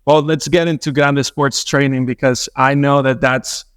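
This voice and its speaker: A man's voice: medium pitch, little pitch movement, normal speed, a bit bright, smooth, dry recording, studio quality. A man hosting an in-person podcast interview, effusive and enthusiastic, slipping in the odd Spanish phrase, speaking into a handheld mic. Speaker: podcast host.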